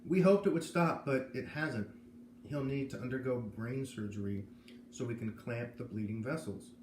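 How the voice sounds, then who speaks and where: A man's voice: medium pitch, normal speed, a little mellow, lightly acted. A kindly but grave male doctor as a man reads him from a children's novel explains carefully and with measure. The voice sits in an echoey room with faint noise. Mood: somber. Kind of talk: read-aloud of a children's novel. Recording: roomy echo, noticeable background noise.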